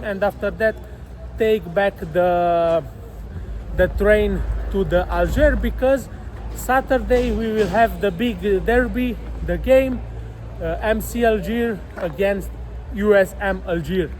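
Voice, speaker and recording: Man, football travel vlogger, halting English, high voice, faint street noise. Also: a little mellow, poor audio. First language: Romanian. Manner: halting non-native English with many fillers. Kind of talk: casual travel vlog narration.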